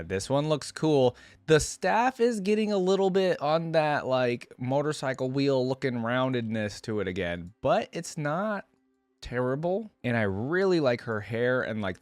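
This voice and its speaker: A man's voice: mid-range voice, lively intonation, measured pace, smooth, no echo. A man hosting a reaction video, giving his opinions in casual, conversational commentary.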